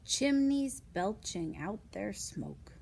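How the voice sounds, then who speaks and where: A woman's voice: medium pitch, sing-song, slow, gravelly, a little mellow, slight background noise. A woman reading non-fiction picture books aloud to young children, adding casual asides, simple explanations and questions to the listeners.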